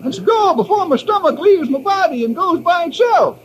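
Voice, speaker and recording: An actor playing a spry, cantankerous old sidekick with a folksy, teasing manner in an old radio western. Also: male voice, very high voice, expressive, normal speed. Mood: cackling, feisty. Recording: noisy old time radio recording.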